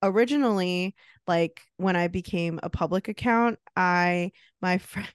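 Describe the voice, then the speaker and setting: A woman's voice: fairly low pitch, a little slow, smooth, dry recording, studio quality. A woman in her late thirties co-hosting a podcast, chatting casually and laughing often. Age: 30s. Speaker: podcast co-host. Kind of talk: casual chat.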